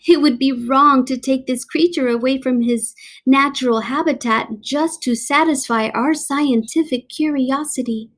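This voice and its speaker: A woman's voice: high voice, fairly even intonation, a little slow, fairly bright tone, voice pushed up. A woman voicing a sensible young female mouse scientist, speaking earnestly and reasonably in a storybook read-aloud.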